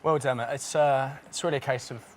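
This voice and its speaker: A man's voice: mid-range voice, fairly even intonation, brisk. A man in his early twenties, captain of a quiz team, answering casually on a TV quiz with a few fillers. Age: 20s. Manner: rambling, with fillers, confers for the team. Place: TV studio.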